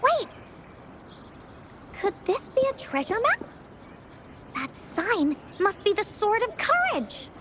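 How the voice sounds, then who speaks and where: A woman's voice: extremely high pitch, huge pitch swings, slow, long pauses, noisy background, heavily acted. A woman voicing an eager, bubbly little girl adventurer in an anime video game, with a childlike, peppy delivery. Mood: curious, excited. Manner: childlike, peppy, refers to herself by name.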